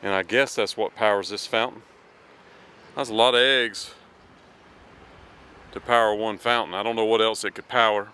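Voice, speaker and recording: A man's voice: lowish voice, fairly even intonation, normal speed, long pauses, sharp tone, clean tone. A middle-aged man giving a casual walking-tour vlog outdoors in a city square, chatting to his viewers as friends. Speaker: travel vlogger. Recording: noisy outdoor street recording.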